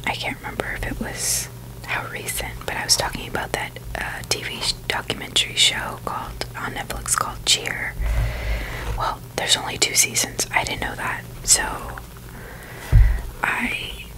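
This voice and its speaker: A woman's voice: normal speed, low-quality recording. Woman whispering casual ASMR chat. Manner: hesitant, trailing phrases. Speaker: ASMR video creator.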